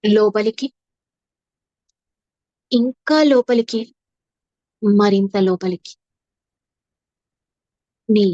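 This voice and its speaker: Female meditation guide, very measured delivery with long pauses, dry close sound. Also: fairly high pitch, darkish tone, no room sound, highs cut off. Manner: soothing, unhurried, with long pauses and repeated phrases. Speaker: meditation teacher. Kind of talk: guided meditation, slow instructive narration.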